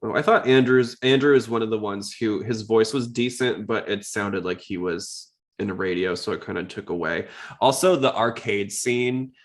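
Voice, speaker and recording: Low-voiced man chatting casually on a podcast. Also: moderate pace. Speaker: podcast host. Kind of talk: casual podcast chat about anime.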